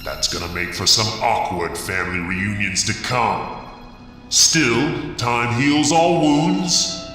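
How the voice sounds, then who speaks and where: A man voicing a sardonic, ghoulish horror host, delivering darkly humorous commentary in a drawn-out, theatrical way. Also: fairly low pitch, faint music behind. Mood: mock-sinister. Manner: deadpan dark humour, drawn-out theatrical delivery. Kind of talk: scripted creature-feature commentary. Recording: very echoey, long cavernous reverb.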